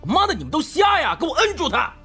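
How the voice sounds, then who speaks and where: A man's voice: very high voice, huge pitch swings, quick pace, pushed and bright, faint music behind, voice pushed up, full character voice. A man voicing an arrogant, foul-mouthed thug boss who sneers and barks orders in an audiobook. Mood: furious. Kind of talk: dramatised audiobook character acting.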